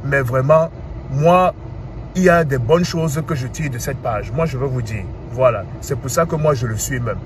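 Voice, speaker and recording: Man, thirties, Cameroonian French accent, animated, with street traffic heard clearly behind. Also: medium pitch, normal speed. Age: 30s. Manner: animated, asks rhetorical questions, repeats "hein". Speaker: commentator. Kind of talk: casual monologue.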